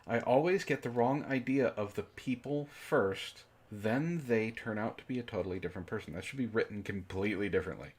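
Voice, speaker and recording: A man with a husky voice reading a story dramatically and breaking in with sarcastic, exasperated commentary. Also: lowish voice, brisk, few pauses. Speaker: book club reader and commentator.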